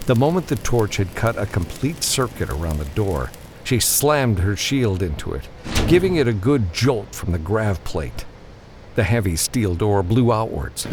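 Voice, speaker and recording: A man narrates fiction in a dramatic audiobook style. His voice is low and moves expressively up and down, with a faint vehicle-like ambience behind it. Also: noisy background.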